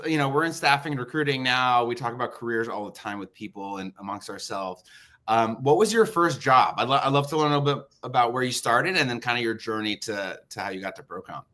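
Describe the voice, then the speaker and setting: A man's voice: mid-range voice, a little fast, pushed and bright. A man hosting a video call interview, friendly and encouraging with many fillers. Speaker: interview host. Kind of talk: casual interview chat.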